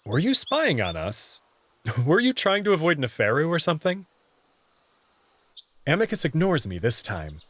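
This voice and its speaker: A man's voice: fairly high pitch, wildly swooping pitch, measured pace, long pauses, a bit bright, no room sound, full character voice. A man playing a bewildered young human who narrates his own story in a visual novel and speaks hesitantly. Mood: narrating, bewildered. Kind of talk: first-person visual novel narration and dialogue.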